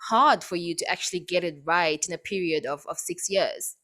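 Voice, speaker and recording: A woman's voice: fairly low pitch, moderate pace, a bit bright. A woman hosting a conversational radio interview, putting questions to a guest.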